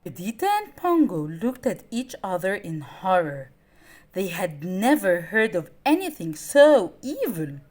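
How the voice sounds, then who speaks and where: A woman reading a children's story aloud in an expressive, dramatic way. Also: mid-range voice. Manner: expressive, dramatic, deliberate phrasing.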